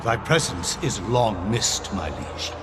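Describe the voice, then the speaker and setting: Scheming, reverent servant, swooping delivery. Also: male voice, fairly low pitch, very animated, slow. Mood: sinister calm. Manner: formal, obsequious, archaic. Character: scheming male servant of dark gods, reverent, calculating. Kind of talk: scripted video game villain acting. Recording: echoing chamber with noisy ambience.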